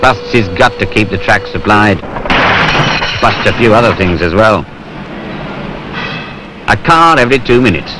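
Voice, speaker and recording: A man's voice: on the low side, lively intonation, a little slow, pauses often. A British man giving wry, colloquial, conversational narration for a film. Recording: noisy 1970s industrial film soundtrack.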